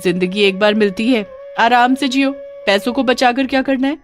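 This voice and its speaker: A woman's voice: a little high, fairly even intonation, moderate pace, soft background music, pitched above the performer's own voice, lightly acted. A woman voicing a snobbish, breezy young friend in a story, chatty and goading.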